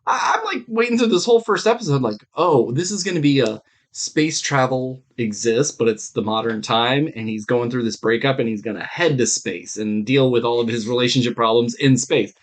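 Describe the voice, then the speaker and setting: A man's voice: mid-range voice, normal speed. Casual, rambling man with mid-range voice. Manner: informal, sweary, rambling. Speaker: podcast co-host. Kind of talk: casual review chat.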